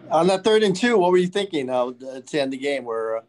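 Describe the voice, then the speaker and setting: A man's voice: a little high, very animated, a little fast, a little mellow. A man, a sports reporter, asking pointed questions at a press conference.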